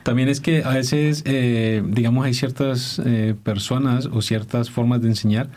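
A man with a soft-edged, mellow voice at an average pitch. He explains things in casual interview talk, often hesitating as he looks for the right words. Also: steady tone, a little slow. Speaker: dance instructor, interview guest.

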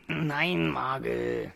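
A man playing a sleepy, grumbling, wise talking stone in a children's radio play, slow and hesitant with a rough, gravelly voice. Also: a little high, wildly swooping pitch, a bit bright, heavily acted. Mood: patient.

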